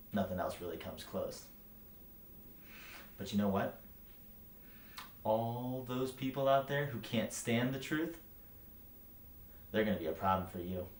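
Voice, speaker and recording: An actor playing a bitter, brutally frank writing teacher in a theatrical monologue, speaking with scorn, emphasis and profanity. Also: male voice, lowish voice, natural voice. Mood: smug, goading, then scornful, forceful. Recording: roomy echo, light background noise.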